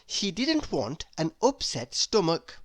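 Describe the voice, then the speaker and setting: A woman reads a children's storybook aloud at a very unhurried pace. Her voice is on the low side, with lively rises and falls through the scripted narration. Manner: measured, expressive read-aloud delivery. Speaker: storybook reader.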